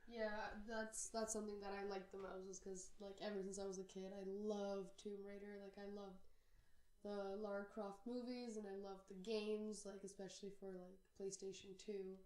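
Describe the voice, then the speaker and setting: A young woman co-hosting a casual podcast chat, hoarse and phlegmy from a cold, with lots of filler words. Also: medium pitch, level tone, darkish tone. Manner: drawn-out, filler-heavy talk. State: sick, losing her voice. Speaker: podcast co-host. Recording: echoey room.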